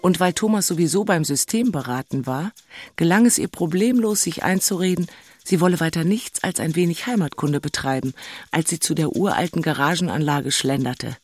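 A woman's voice: low voice, fairly quick, no room sound. An actress playing an exasperated, lovesick single city woman in a radio play, narrating with blunt, self-mocking asides. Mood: wry, self-deceiving.

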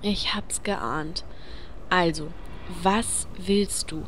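A woman's voice: lowish voice, extremely slow, slight background noise. An actress playing a bossy, exasperated guild adventurer woman in a comedic fantasy radio play, commanding and sarcastic.

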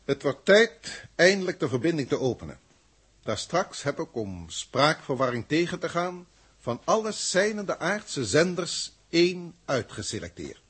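Pompous husky alien commander. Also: male voice, a little high, very animated, fairly bright tone, full character voice. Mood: lofty, explanatory. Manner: formal, pompous, deliberate. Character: lofty alien commander of a galactic starship, male. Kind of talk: 1960s Dutch science fiction radio play acting.

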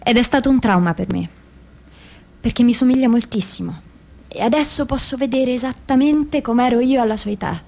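A woman's voice: on the high side, a little fast, gravelly, mellow. An actress playing an anguished mother who gives an intense, confessional testimony of past abuse in a dramatic stage monologue. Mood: grieving, resolute. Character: anguished mother recounting abuse by a priest in girlhood.

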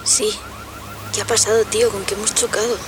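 A woman's voice: mid-range voice, little pitch movement, a little fast, background noise, natural voice. An actress playing a sensual, troubled young woman in a radio drama, hesitant and confessional. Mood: bewildered. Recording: loud music and water sounds behind. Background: music, boat and water soundscape.

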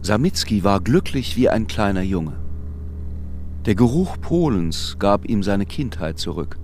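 An actor as the narrator of a German radio play, a measured, sombre storyteller reading literary prose. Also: male voice, lowish voice, big pitch swings, darkish tone, slight background noise. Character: measured, sombre storyteller of a besieged resort town.

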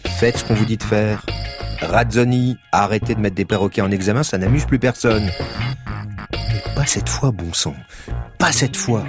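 A man's voice: lowish voice, natural voice. A man playing a stubborn, awkward police detective in a comic audio drama, earnest and self-dramatizing, sometimes tripping over his words. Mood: brooding, earnest.

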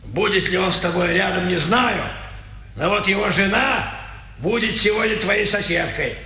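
A mocking prison guard from an old Soviet radio drama. The voice is high and hard-edged, barking brusquely. It rings in a very echoey space. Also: male voice, moderate pace, vocal fry, pushed and bright, heavily acted. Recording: very echoey 1950s radio recording.

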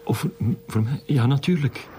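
A man's voice: mid-range voice, moderate pace, noisy background, natural voice. An actor playing an evasive, shaken Flemish school principal in a radio drama, hesitant and stammering as he weighs his words. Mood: nervous, defensive. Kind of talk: naturalistic radio drama acting.

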